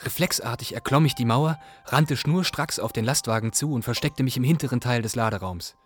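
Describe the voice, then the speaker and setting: A man's voice: medium pitch, brisk, lightly acted. A man playing a restless, longing young refugee who narrates his journey in a radio play. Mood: wistful.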